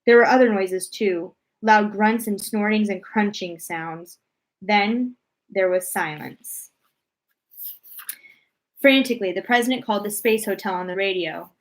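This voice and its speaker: A woman reading a children's storybook aloud as narrator, expressive and deliberate in her storytelling. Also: mid-range voice.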